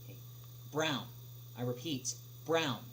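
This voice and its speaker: A man hosts a casual livestream, answering questions in a mid-range voice. His delivery is hesitant and stop-start, with long pauses between phrases. A noticeable humming noise runs through the recording. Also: medium pitch, a bit bright. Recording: noisy livestream audio with clear hum.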